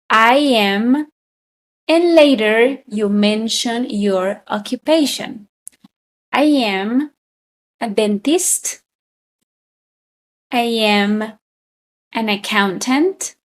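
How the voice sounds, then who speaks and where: Very slow, deliberate woman teacher. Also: on the high side. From Colombia. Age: 30s. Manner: very slow, deliberate, with frequent repetition. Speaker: English teacher. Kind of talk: slow beginner English lesson.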